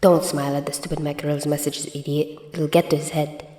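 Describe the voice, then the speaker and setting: A woman's voice: deep voice, level tone, fairly quick, dark tone. A woman voicing an anxious, grumpy, foul-mouthed teenage boy with blunt muttering in a story reading.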